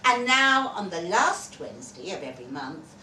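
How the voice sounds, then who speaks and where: A woman's voice: lowish voice, very animated, normal speed, sharp tone. A woman reading a short story aloud expressively at a live event, as narrator. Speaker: story reader. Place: festival venue at an observatory. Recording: roomy, distant mic, background noise.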